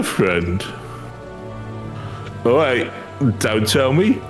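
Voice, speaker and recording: A rough rascal of a treasure hunter played by a man, boastful and wheedling. The pitch swoops theatrically through slangy fantasy game dialogue, with music playing behind. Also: heavily acted. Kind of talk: improvised reading of game dialogue.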